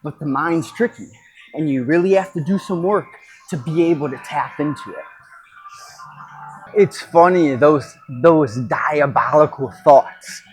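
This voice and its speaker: A man speaking off the cuff as a podcast host and coach, in an emphatic, halting motivational monologue with pauses and repetition. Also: on the high side, rough recording.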